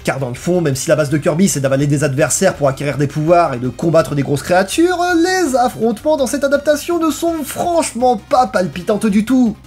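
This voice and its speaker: A narrator delivering a scripted video essay in an expressive, emphatic and colloquial way over background music. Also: medium pitch, slightly rough.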